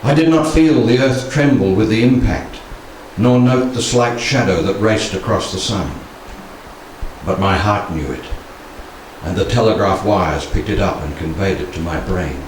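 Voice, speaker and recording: A man in his sixties reciting poetry solemnly, with measured, dramatic phrasing and pauses, into a handheld microphone in a room. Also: fairly low pitch, animated. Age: 60s. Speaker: poetry reader. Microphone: handheld stage microphone. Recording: very echoey room, distant, faint noise.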